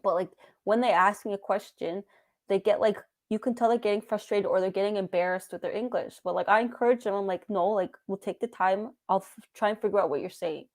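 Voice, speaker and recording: A woman's voice: mid-range voice, fairly quick. A woman co-hosting a casual book club discussion over a video call, speaking in an encouraging, conversational way.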